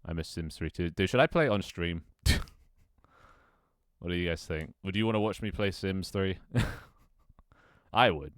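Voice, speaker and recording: A man's voice: deep voice, measured pace, pauses often, no room sound, studio quality. A young man streaming a game, chatting casually with viewers and talking to himself as he sets things up. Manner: rambling, self-talk with frequent restarts and laughs. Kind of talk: casual live-stream chat while gaming.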